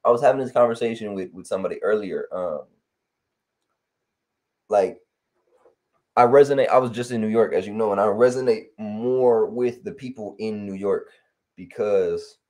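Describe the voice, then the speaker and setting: A young man from Los Angeles in casual chat on a video call, relaxed and rambling with lots of fillers. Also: on the low side. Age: young adult. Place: home room on video call. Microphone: headset on video call.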